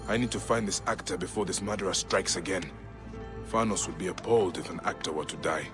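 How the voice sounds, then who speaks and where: Low-voiced, grave male investigator played by an actor. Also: fast, over music, natural voice. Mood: brooding, investigative. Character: Bayek, grave male Egyptian investigator and protector, steady, compassionate. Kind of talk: video game acting, part dialogue, part musing aloud.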